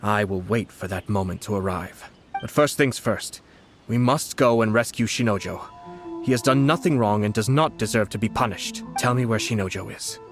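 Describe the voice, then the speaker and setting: Man as composed, low-voiced noble commissioner. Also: faint music behind, close to an everyday voice. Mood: calm, analytical. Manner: formal, measured, precise wording. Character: composed, refined noble commissioner, shrewd and coolly authoritative. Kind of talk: video game voice acting.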